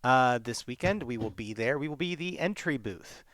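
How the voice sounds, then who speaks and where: A man's voice: medium pitch, animated, normal speed, fairly bright tone, dry recording, studio quality. A man giving casual announcements for a live gaming channel, speaking as one of its hosts.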